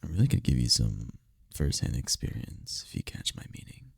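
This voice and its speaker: Man acting a gravelly, low-voiced incubus lover. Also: huge pitch swings, dry recording, exaggerated voice. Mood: playful, seductive. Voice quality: low, gravelly, husky, breathy.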